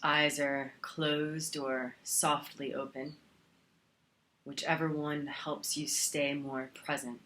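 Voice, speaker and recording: A woman teaching a guided meditation in a calm, soothing manner, leaving long pauses between phrases. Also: sits low.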